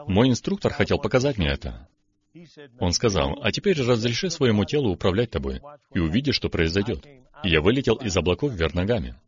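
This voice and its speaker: A man's voice: lowish voice, sing-song, a little fast, lo-fi sound. A man, a preacher and guest, who chats, shares a flying story and reads scripture. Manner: affirming, reads scripture aloud.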